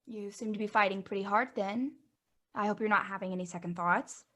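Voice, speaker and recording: Woman reading a ditzy gossipy girl, regular pitch, chatty. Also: fairly quick, fairly bright tone, natural voice. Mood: curious. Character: ditzy, opportunistic young woman of twenty-two. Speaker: also reads stage directions. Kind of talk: virtual staged play reading. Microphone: video call.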